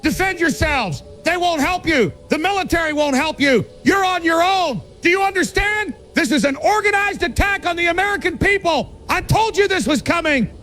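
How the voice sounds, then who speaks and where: A man acting a paranoid, high-pitched, gravelly conspiracy broadcaster. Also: fairly bright tone, heavily acted. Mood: frantic shouting. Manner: urgent, fervent, insistent. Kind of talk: audio drama monologue as pirate radio broadcast.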